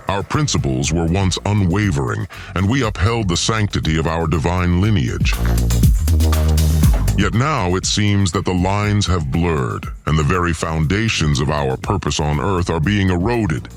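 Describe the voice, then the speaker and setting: A man performs a deep-voiced divine villain, a vengeful lord full of disdain. The delivery is a pompous, formal audio drama monologue with open menace, and music plays prominently underneath. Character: vengeful, disdainful male god lord plotting against humans.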